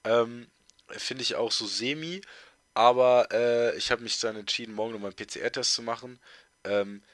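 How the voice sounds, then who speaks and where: A man's voice: mid-range voice, fairly even intonation, normal speed, fairly bright tone, dry recording. A teenage boy co-hosting a casual podcast chat, congested with a heavy cold, rambling with lots of fillers and restarts. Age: teens. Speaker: podcast co-host.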